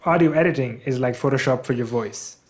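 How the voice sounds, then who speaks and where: A man with a Pakistani accent reads a prepared voice-over at a middling pitch. The delivery is scripted and edited. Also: a little fast.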